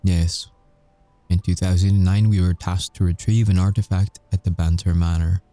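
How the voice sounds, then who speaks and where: A man acts out a brooding, flirtatious werewolf ex-agent for an intimate audio roleplay. His voice is deep and dark, recorded close and dry. He confesses wearily, leaving deliberate pauses between halting phrases. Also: little pitch movement, dry recording, soft background music, natural voice. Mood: grave, confessional.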